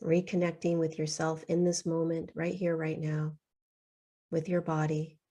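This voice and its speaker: A woman guides a meditation with a mellow, soft-edged tone. She speaks very slowly and soothingly, in short phrases with long pauses, and her pitch stays nearly flat.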